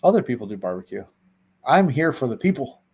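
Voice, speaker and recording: A man's voice: mid-range voice, very animated, brisk, darkish tone, husky. A man co-hosting a casual livestream chat, upbeat and playful in banter, greeting viewers. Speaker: co-host.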